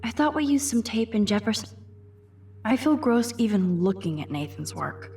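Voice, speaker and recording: A woman's voice: medium pitch, big pitch swings, normal speed, a little mellow, breathy edge. A young American woman playing a wry, nerdy, curious student girl in a video game, with a quippy, musing delivery. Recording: music behind the voice.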